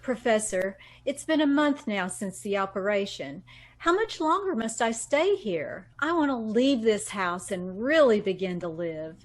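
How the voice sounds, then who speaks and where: A woman playing a vain, intense woman in an old-time radio drama, speaking deliberately with dramatic pauses. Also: medium pitch, animated. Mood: insistent. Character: vain, intense woman reborn with a beautiful new body.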